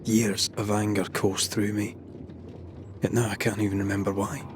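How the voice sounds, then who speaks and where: A brooding, troubled investigator of hauntings, played by a man in a video game. The voice is low and earnest, with clear noise behind it. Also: flat delivery, normal speed, lightly acted. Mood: uneasy. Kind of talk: video game dramatic dialogue.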